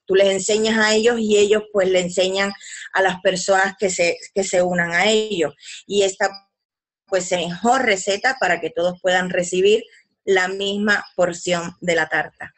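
Woman, webinar presenter reading slides, halting delivery with filler words, mid-pitched, video-call mic. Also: fairly even intonation. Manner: hesitant, fills pauses with "pues" and restarts.

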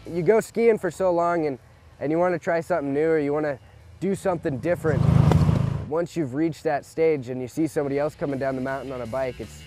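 A man's voice: fairly high pitch, fairly quick, loud music behind the voice. A man answering an interview casually and matter-of-factly. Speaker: interviewed skier.

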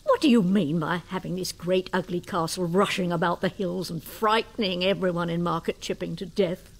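An actress playing an outspoken, nosy old cleaning woman in a radio drama, brisk and disapproving. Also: fairly low pitch, vocal fry. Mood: indignant.

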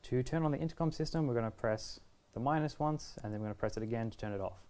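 A young man presents a how-to guide in a casual voice. He explains each step in an easygoing, reassuring manner, at a medium pitch. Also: quick pace, a little mellow. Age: 20s. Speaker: product reviewer and presenter. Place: indoor shop video studio, standing at a table.

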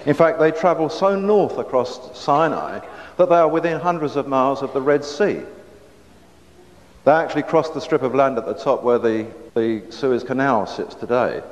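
A middle-aged man giving a public lecture on a lapel mic, talking in a measured way with frequent restarts and repeated words. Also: mid-range voice. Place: stage with curtain backdrop, indoors. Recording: dull lo-fi hall recording with faint hiss.

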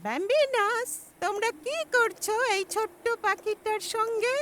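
A very high-pitched kindly cartoon woman looking after children, over prominent music. She talks in simple, encouraging phrases. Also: moderate pace. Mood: surprised, questioning. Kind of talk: animated children's cartoon dialogue. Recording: music behind the voice.

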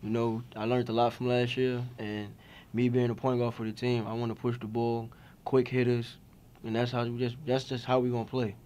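Young guy basketball player talking to reporters after a game, laid back, pretty monotone. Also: mid-range voice, moderate pace, clean tone. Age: young adult. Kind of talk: post-game press conference answers. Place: press conference room. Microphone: table mics in front of him.

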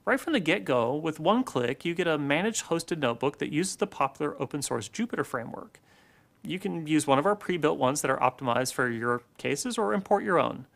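A man in his forties giving a scripted keynote presentation in a measured, deliberate way, recorded in a studio on a lapel mic. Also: fairly high pitch, animated, gravelly, a bit bright.

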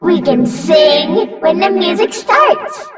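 Very high, singsong bunny girl. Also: very animated, slow pace, exaggerated voice. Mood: cheerful, sweet. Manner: singsong, cutesy, giggly. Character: energetic little animatronic bunny girl, sweet daycare host turning menacing. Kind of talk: character voice acting demo lines. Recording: echoey hall, distant from the mic.

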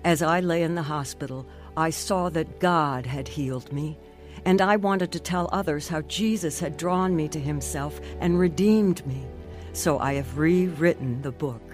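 Devout grateful lady narrating her testimony on a radio drama, earnest and measured, bit of music behind. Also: deep voice. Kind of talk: scripted radio drama first-person narration.

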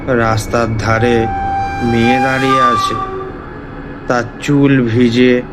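Slow-paced male horror storyteller over music. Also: mid-range voice.

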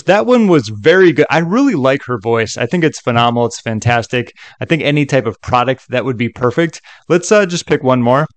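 A man narrating a software tutorial in a casual, conversational way with chatty asides. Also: mid-range voice, no room sound.

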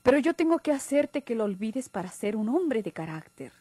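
A strong-willed Mexican sweetheart in a radio drama. Her slightly high voice is husky and swings widely in pitch, with an emphatic, commanding delivery. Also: big pitch swings, moderate pace, mellow, no echo. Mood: encouraging, firm. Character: bossy, encouraging, proud sweetheart of a timid young man. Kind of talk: 1950s-style Mexican radio drama acting.

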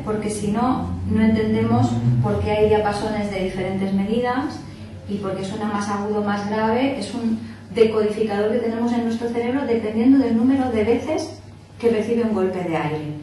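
A woman, explaining to a small workshop group and checking in often to make sure everyone follows. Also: medium pitch. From Barcelona area, Spain. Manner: explanatory, checks in often with "¿vale?", demonstrating with hands. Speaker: workshop instructor. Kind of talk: informal teaching talk to a small group. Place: small indoor meeting room. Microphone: far camera. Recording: echoey room from far off, faint hiss.

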